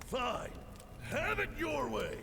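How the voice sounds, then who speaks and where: An actor playing a cruel, sneering male thug in a game, gravelly and taunting before barking threats. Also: extremely high pitch, very animated, takes it slowly, sharp tone, in a large hall, slight background noise, heavily acted. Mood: menacing.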